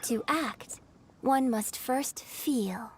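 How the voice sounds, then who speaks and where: A woman playing a poised, gracious young opera performer in an anime video game, polite and measured. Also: on the high side, a little hiss or hum. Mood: gentle, reflective.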